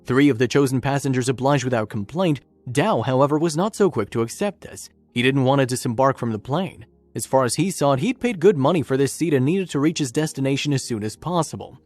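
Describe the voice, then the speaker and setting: A man's voice: medium pitch, expressive, fairly quick, few pauses, a little mellow, dry recording. A man reading scripted, documentary-style story narration as a narrator. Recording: music bed under narration. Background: background music.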